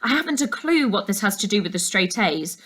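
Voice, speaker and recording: A woman voicing a serious ex-detective uncle in a storybook, speaking in a measured, authoritative way. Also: mid-range voice, very animated, a bit bright. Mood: urgent, authoritative. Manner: measured, commanding, matter-of-fact.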